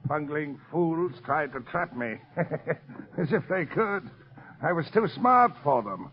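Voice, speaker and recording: A man's voice: a little high, very animated, slow pace, exaggerated voice. An actor playing a menacing, taunting villain in 1930s radio style, a former circus man who gloats as he threatens. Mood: gloating menace. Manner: taunting, sneering, breaks into gloating laughs. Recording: muffled, noisy 1930s radio recording.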